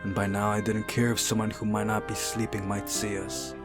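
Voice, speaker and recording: A man reading visual novel narration aloud in a measured, soft dramatic way, as a playthrough host. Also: on the low side, monotone. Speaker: host of a game playthrough channel. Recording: music behind the voice. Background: game music.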